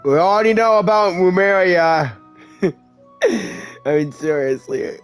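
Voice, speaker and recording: A woman's voice: fairly low pitch, lively intonation, measured pace, soft background music. A woman streamer giving casual reaction commentary over gameplay, with informal asides at the game. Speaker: gaming streamer.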